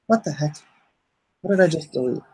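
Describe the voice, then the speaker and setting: A man chatting casually as a livestream host, haltingly and often muttering to himself. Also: on the high side, expressive, darkish tone, husky, low-quality recording. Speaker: comic artist and livestream host.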